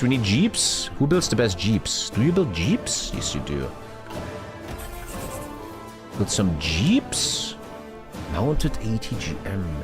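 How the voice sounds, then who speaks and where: A young man streams a strategy game live, his voice leaping all over the place as he rants. He swears loudly and talks straight to his chat, with game music faint under him. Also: lowish voice.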